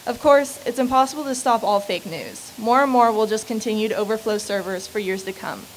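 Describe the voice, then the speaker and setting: A woman's voice: on the high side, a little fast, low-quality recording. A late-teen American woman reading her essay aloud into a podium microphone outdoors as a student speaker. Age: late teens. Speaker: student essay contest winner. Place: outdoor podium on building steps. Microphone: stand microphone at podium. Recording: clear hiss behind voice.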